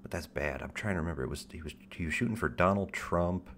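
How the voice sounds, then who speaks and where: A man hosting a livestream in casual chat, with a deep radio-style voice, rambling in stop-start phrases with a wry, self-deprecating tone and casual swearing. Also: fast, fairly bright tone, slight background noise. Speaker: livestream host.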